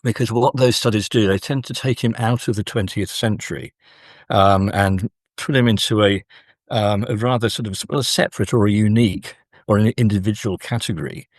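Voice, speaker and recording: Low-voiced, hesitant academic man. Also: steady tone, no echo, clean studio sound. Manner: hesitant, measured, halting phrases with many pauses. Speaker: academic, literature professor and interview guest. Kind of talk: conversational academic explanation.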